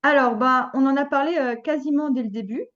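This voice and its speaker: A woman's voice: high-pitched, a little fast, a bit bright. Hesitant woman in her thirties, lo-fi. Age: 30s. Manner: hesitant, many fillers and restarts. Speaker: podcast guest. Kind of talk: personal story, casual chat.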